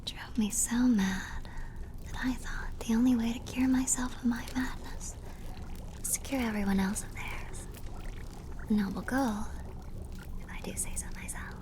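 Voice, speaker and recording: A woman's voice: on the high side, fairly even intonation, a little slow, pauses often, close to an everyday voice. Woman playing a whispery, doting plague doctor girlfriend. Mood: tender, soothing, unhinged. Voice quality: breathy, often whispered. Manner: theatrical, affectionate, quaint turns of phrase. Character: clumsy, doting, slightly mad female plague doctor girlfriend. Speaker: ASMR roleplay performer. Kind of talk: ASMR roleplay, scripted character acting.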